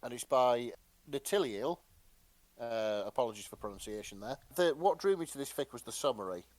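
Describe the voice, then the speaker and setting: A man's voice: mid-range voice, lively intonation, moderate pace, a little mellow. A man co-hosting a casual podcast, presenting and reading out fan fiction with a jokey, slightly hesitant delivery. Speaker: podcast co-host.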